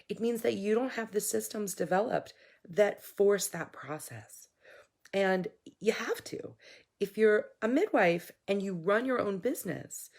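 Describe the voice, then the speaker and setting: A woman with a hoarse voice, a midwife hosting a casual live stream, talking frankly and warmly to viewers with many fillers. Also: lowish voice. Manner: chatty, frank, coaching tone with fillers.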